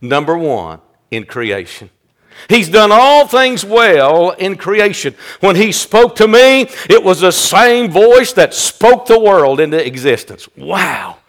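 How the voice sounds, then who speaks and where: High-pitched, slow, fervent male preacher. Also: animated. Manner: emphatic repetition, calls for amens; swings from loud cries to hushed asides. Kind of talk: fervent evangelistic sermon.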